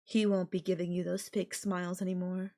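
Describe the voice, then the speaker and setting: A woman's voice: on the low side, flat delivery, fairly quick, warm tone, lightly acted. A woman voicing a possessive, sweetly menacing yandere girlfriend in an intimate audio roleplay, coaxing the listener with affection and veiled threats. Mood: smug, possessive.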